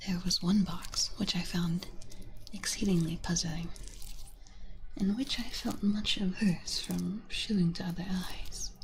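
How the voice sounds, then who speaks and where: A woman streamer reading a story aloud in a soft, unvoiced ASMR whisper on a binaural microphone. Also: medium pitch, gravelly, slight background noise, low-quality recording.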